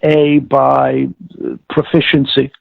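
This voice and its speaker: Gravelly older man on a phone-like line. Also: mid-range voice, monotone, no echo. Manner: halting, enumerates points methodically. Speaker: audio director-producer, interviewee. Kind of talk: explanatory interview talk.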